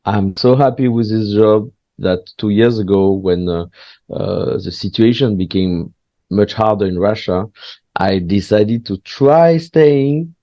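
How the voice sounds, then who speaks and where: A man's voice: on the low side, mellow, no room sound, highs cut off. Hesitant man. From France. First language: French. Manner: hesitant, frequent pauses and restarts, candid. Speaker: guest, stand-up comedian. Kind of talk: casual interview chat.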